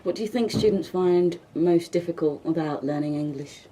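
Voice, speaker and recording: A woman's voice: on the low side, moderate pace, mellow, rough recording. A woman hosting a casual radio interview, affirming her guest with frequent murmurs of agreement. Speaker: radio host. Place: radio studio.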